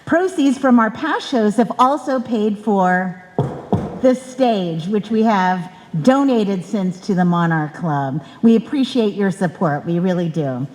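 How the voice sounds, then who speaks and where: Slow, lilting woman announcer in a hall. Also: medium pitch, expressive, a little mellow. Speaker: announcer welcoming a live show audience. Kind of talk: prepared welcome speech to an audience. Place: indoor stage in a club hall. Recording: reverberant hall, live stage event.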